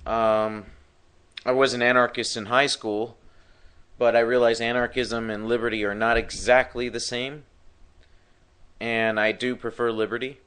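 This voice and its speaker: A man's voice: medium pitch, flat delivery, measured pace, long pauses, a bit bright, smooth. A man hosting a live talk show who has partly lost his voice, speaking in a rambling way with many fillers and restarts. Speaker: podcast host.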